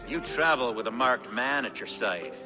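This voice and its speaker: Scolding Saxon grump, played by a man: exasperated, animated, over game score. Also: medium pitch, moderate pace, a crowd in the background, close to an everyday voice. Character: grumbling male Saxon retainer, scolding and gruff. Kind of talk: video game cutscene acting. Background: orchestral game music.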